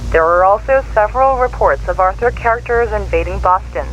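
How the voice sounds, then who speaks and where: A man's voice: sits high, fairly quick, fairly bright tone, dull audio, slight background noise. A man's synthetic-sounding voice reading a scripted emergency broadcast in a formal, impersonal manner. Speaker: emergency alert announcer.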